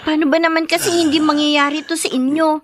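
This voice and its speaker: An actress playing a weary, dutiful young Filipino daughter in a radio drama. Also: female voice, high-pitched, level tone, brisk, no echo, lightly acted. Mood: weary, caring.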